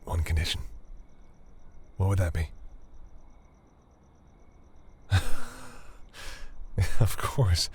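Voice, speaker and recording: A man playing a tender, bashful centuries-old vampire in an intimate audio roleplay, speaking formally and hesitantly in a deep, husky voice. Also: darkish tone, slight background noise. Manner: hesitant, halting, earnest, formal wording.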